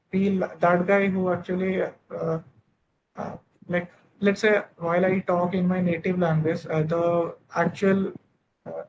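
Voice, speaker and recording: A young man in his twenties from Odisha with an Indian English accent, talking haltingly with many fillers and restarts while practising English with a tutor. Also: sits high. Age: 20s. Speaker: English learner. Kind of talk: online speaking practice session. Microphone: video call. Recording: dull lo-fi call audio with steady noise.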